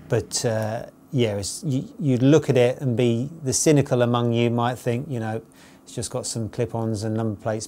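Male reviewer, forties, relaxed dark timbre, matter-of-fact and conversational, faint noise behind. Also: mid-range voice. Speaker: motorcycle road tester presenting a review. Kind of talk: unscripted spoken review to camera. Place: studio with dark backdrop, seated at table.

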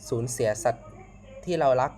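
A man hosting a casual reaction video, politely addressing viewers as friends in a halting, repetitive way with frequent exclamations. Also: a little mellow. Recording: noisy amateur home recording.

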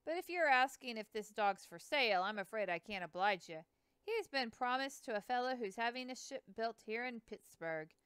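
A woman's voice: a little high, expressive, normal speed, a bit bright, clear, resonant tone, no room sound, pitched above the performer's own voice. A woman voicing a plainspoken, proud male river dog breeder with a folksy way of talking.

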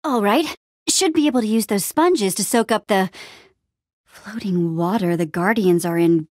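A woman playing a plucky, bossy but encouraging young adventurer heroine in a video game, with an expressive, casual, peppy delivery. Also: mid-range voice, a little slow, no echo, studio quality, close to an everyday voice. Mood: focused, determined. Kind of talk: animated adventure game dialogue.

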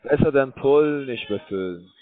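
A man's voice: mid-range voice, lively intonation, faint music behind. Bored man on a tinny phone line. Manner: bored, crude, rambling, slow halting speech. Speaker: call center scam caller. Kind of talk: rambling phone call.

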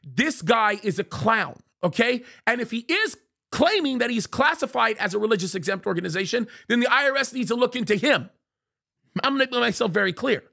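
A man's voice: high-pitched, creaky, a bit bright. A man in his forties delivering indignant, emphatic commentary into a studio mic, reading quotes aloud and rebutting them. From United States. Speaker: pastor commentator. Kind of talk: fiery commentary. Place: small dark studio, leather chair. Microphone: studio mic on boom in front.

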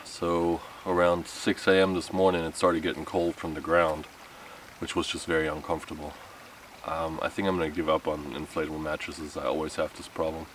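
A man's voice: rumbling low, little pitch movement, fairly quick, a bit bright. A man in his thirties talking casually and unhurriedly to camera as a camping vlogger, rambling a little and poking fun at himself. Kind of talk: casual vlog talk to camera. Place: outdoor wooded campsite. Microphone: camera a few metres away. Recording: distant mic with outdoor background noise.

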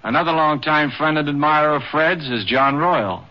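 A man's voice: fairly high pitch, normal speed. A man delivering scripted narration for a 1950s radio documentary. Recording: dull, band-limited 1950s radio recording.

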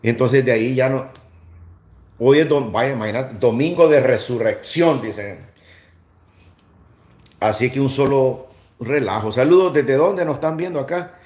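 The sound is roomy and echoey, recorded indoors a little way from the device. A middle-aged man with a Salvadoran accent hosts a livestream in a slightly low voice. He talks casually and comes back to the same phrases to drive them home. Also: unhurried, long pauses, a little mellow. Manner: repeats phrases for emphasis. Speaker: livestream host. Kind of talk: casual livestream chat and commentary. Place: small room indoors.

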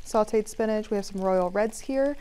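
A woman's voice: medium pitch, moderate pace, warm tone. A young adult woman giving a casual live cooking demonstration as a restaurant manager. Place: TV studio kitchen, indoors. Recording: clear sizzling pan noise. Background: frying and sizzling.

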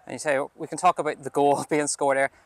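A man's voice: on the high side, flat delivery, fast, slight background noise. A man putting friendly, conversational post-match questions as a club media interviewer.

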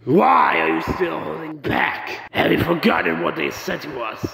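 Gravelly, echoing sinister villain. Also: male voice, fairly high pitch, steady tone, background noise. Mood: taunting menace. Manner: slow, taunting. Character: sinister, manipulative male villain goading with menace. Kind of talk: comic dub voice acting. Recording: heavy echoing reverb.

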